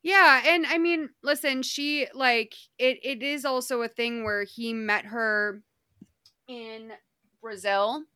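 A woman's voice: on the high side, takes it slowly, smooth, no echo, clean studio sound. A woman co-hosting a podcast, recapping a documentary in a rambling, casual way full of fillers. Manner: rambling, filler-heavy, animated. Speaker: podcast co-host.

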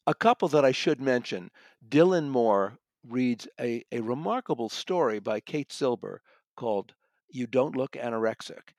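A man with a mid-range voice discusses books as a critic in a dry, close recording. He speaks conversationally and thoughtfully, with a halting flow and repeated words. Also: medium pitch, lively intonation, darkish tone, dry recording. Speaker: audiobook reviewer and guest critic.

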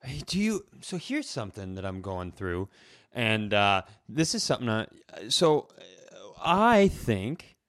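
A man co-hosting a comedy podcast, talking casually in a rambling, halting, self-deprecating way. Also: lowish voice, very animated, a bit bright, no room sound, clean studio sound. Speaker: podcast co-host.

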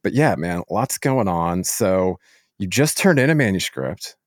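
A man with a low voice hosts a casual interview. He feels his way through long, meandering questions with halting phrasing. The sound is close and dry. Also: expressive, no room sound. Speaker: podcast host, interviewer.

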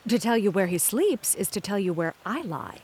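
A punning, evasive clown. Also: male voice, high voice, big pitch swings, moderate pace, a little mellow, husky, heavily acted. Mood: wry. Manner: evasive, wordplaying. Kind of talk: radio play acting.

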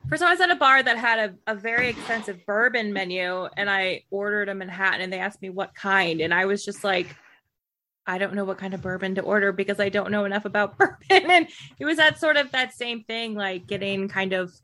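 Woman, interviewer, bright tense tone, casual conversation, video call. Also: medium pitch, fairly quick. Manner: thoughtful, conversational, relates own stories.

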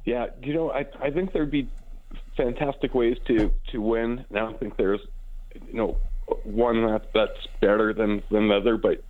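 A man with a hoarse, raspy voice after celebrating, answering casual interview questions in a halting way, repeating words as he goes. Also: lowish voice, steady tone. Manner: halting, repeats words as he gathers his thoughts. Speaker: interview guest, hockey team co-owner and businessman. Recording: dull, phone-like band-limited line.

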